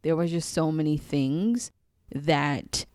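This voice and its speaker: A woman, a guest telling her story in a casual interview, animated and rambling with lots of laughter. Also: sits low, slow pace, no echo, studio quality. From Queens, New York City. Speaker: guest, psychic channel.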